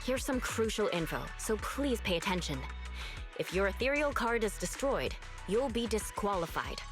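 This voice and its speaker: An actress plays a polite, instructive receptionist in a video game. Her medium-pitched voice moves in lively tones, with music clearly behind it. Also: normal speed, lightly acted. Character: polite, businesslike female competition receptionist. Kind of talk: scripted game dialogue, explaining rules.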